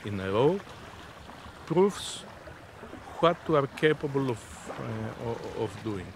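A man in his fifties answering interview questions in a halting, deliberate, unscripted way. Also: medium pitch, animated, creaky. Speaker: interviewee, innovation zone representative. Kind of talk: spoken interview, unscripted explanation. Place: outdoors on a sea beach. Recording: outdoor seaside background noise.

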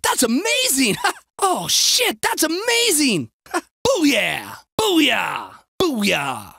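A man voicing an excitable, snarky everyman bystander in a video game, with a whiny, exaggerated delivery. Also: extremely high pitch, very slow, vocal fry, dry recording, clean studio sound, exaggerated voice. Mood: excited.

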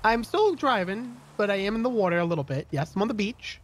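High-pitched, animated man gaming with friends. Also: fast talker. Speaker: gamer playing with friends. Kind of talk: casual multiplayer gaming chat.

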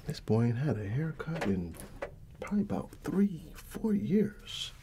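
A middle-aged man doing a casual ASMR barber roleplay, playing an easygoing uncle in a soft, breathy, often whispered voice and pausing for unheard replies. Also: medium pitch, very animated, slight background noise. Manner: unhurried, familiar and colloquial, with pauses for unheard replies. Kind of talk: ASMR roleplay, casual one-sided chat.

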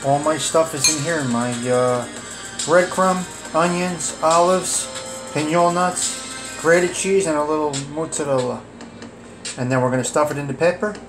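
A fairly high-voiced man talks his way through a home cooking prep, listing ingredients in a loose, chatty way with plenty of "uh"s. He films on a phone in his kitchen while music plays clearly behind him. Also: steady tone, a little slow. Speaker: home cook vlogger. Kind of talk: casual cooking walkthrough.

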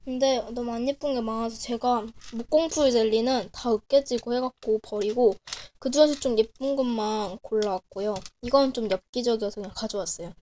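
A young woman with a cold, hoarse and clearing her throat often, chatting casually as she shows her handmade miniature crafts at a desk. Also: on the high side, background noise. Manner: chatty, frequent throat clearing and self-corrections. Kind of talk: casual show-and-tell narration. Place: small indoor room at a desk. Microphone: camera over a desk, speaker off screen.

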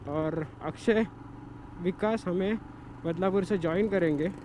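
A man's voice: high voice, measured pace. A man from India vlogging his motorcycle trip in casual talk that slips into English phrases, recorded outdoors by the road. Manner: mixes English time checks into casual Hindi. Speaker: motovlogger. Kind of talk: casual travel vlog narration. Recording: noisy roadside recording.

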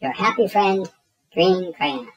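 A woman's voice: lowish voice, animated, slow, muffled recording. Woman plays happy peacemaker crayon, polite, earnest, slightly formal storybook voice. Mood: contented, earnest. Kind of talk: children's storybook read-aloud.

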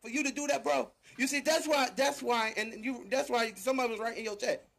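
A man's voice: very high-pitched, steady tone, fairly quick, creaky, a bit bright. A man arguing heatedly and confrontationally in a casual online live-stream chat, repeating himself for emphasis.

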